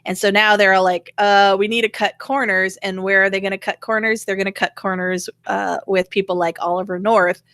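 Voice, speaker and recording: A woman hosting a casual political gossip show with a dry, sardonic wit. Her voice sits at a middle pitch and is recorded close and dry, with very little room sound. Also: level tone, a little fast, few pauses, fairly bright tone, no echo. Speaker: show co-host.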